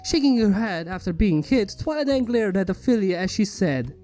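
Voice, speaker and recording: A woman's voice: medium pitch, very animated, moderate pace, dark tone. A woman reading a scripted fan fiction story as its narrator. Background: background music.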